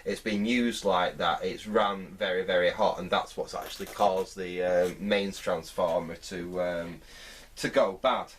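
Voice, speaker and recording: A man giving unscripted hands-on repair commentary, thinking aloud in a halting way as he works. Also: deep voice, fairly even intonation, distant mic, slight background noise. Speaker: host of a vintage radio repair channel.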